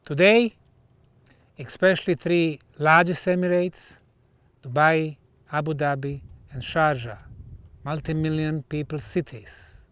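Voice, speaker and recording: A man presenting to camera in a documentary style, speaking in measured short phrases with pauses between them. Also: on the high side, steady tone, a bit bright, smooth, dry recording. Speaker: researcher presenting on location.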